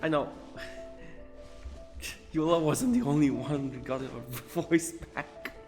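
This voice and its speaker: A man's voice: a little high, takes it slowly, a little mellow, long reverb tail, soft background music. A man giving casual, offhand muttered reactions as he plays through a video game. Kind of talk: casual game playthrough commentary.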